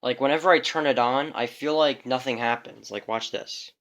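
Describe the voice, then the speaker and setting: A man's voice: mid-range voice, normal speed, a bit bright. A young man giving a casual show-and-tell of his radio collection, rambling, with many fillers and restarts.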